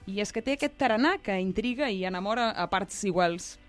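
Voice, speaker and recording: A woman's voice: mid-range voice, expressive, fairly quick, soft background music. A woman with a Catalan accent co-hosting a talk show, moving between prepared introductions and casual banter. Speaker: co-host.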